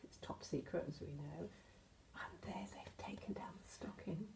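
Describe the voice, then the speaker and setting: A British woman in her sixties reads a children's picture book aloud, expressively, with gentle asides addressed to the children. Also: on the low side, moderate pace, vocal fry, whispered. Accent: British English. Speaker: storyteller, infant school teacher. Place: indoors in a home hallway.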